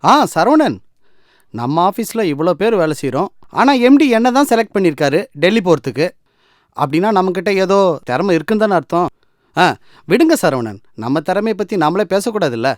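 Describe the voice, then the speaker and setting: A man playing a self-satisfied, teasing father and husband in an animated series, with an Indian Tamil accent and a bantering manner. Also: high voice, normal speed, pauses often, dry recording, studio quality. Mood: smug. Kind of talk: animated family series acting.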